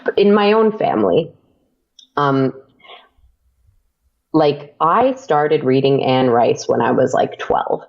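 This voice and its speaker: Gravelly, chatty woman on a dull lo-fi line. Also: low-pitched, sing-song, slow pace, pauses often. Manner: chatty, laughs often, many fillers. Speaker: podcast guest. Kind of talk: casual interview chat.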